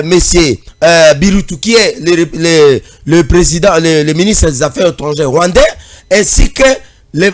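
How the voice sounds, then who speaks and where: A man with a Congolese accent giving emphatic political commentary in long, repetitive phrases. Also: high-pitched. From Democratic Republic of the Congo.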